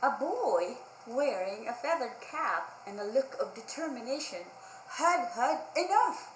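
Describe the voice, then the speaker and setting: Woman, high voice, expressive picture-book read-aloud, storytime host, echoey room. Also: a little slow, slight background noise. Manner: expressive, emphatic, simple asides to young listeners. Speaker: children's librarian storytime host.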